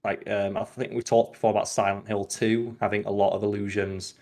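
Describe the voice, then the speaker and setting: A man hosting a podcast, chatting casually and retelling scenes in an animated, rambling way full of filler "like". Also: fairly low pitch, fairly quick. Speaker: podcast host. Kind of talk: casual podcast chat about films.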